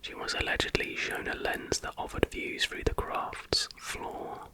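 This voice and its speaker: Male ASMR host, fully whispered storytelling, breathy and unvoiced throughout. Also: measured pace. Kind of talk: whispered ASMR storytelling, reading with casual asides.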